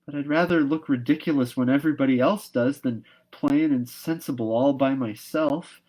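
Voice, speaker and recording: A man's voice: medium pitch, normal speed, a little mellow, pitched above the performer's own voice, close to an everyday voice, low-quality recording. Young orphan girl for a storybook, read by a man: imaginative, wistful, earnest, given to dramatic pleading.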